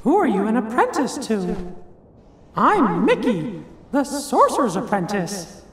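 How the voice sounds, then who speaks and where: An actor voicing a small, kindly cartoon mouse sorcerer's apprentice in a video game, squeaky, polite and folksy. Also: wildly swooping pitch, unhurried, reverberant. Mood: earnest, worried. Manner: folksy, earnest, exclamatory.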